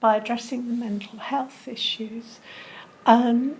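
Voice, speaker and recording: A woman who works in community safeguarding, explaining her work at a measured pace with pauses in a recorded interview. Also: fairly high pitch, steady tone, a little mellow, slightly rough, rough recording.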